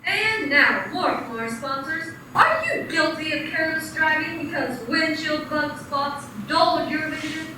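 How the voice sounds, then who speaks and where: High, brisk woman announcer. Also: sharp tone. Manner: brisk, salesy. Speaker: radio announcer. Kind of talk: mock 1940s radio ad announcing. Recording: echoey hall from far off, noise.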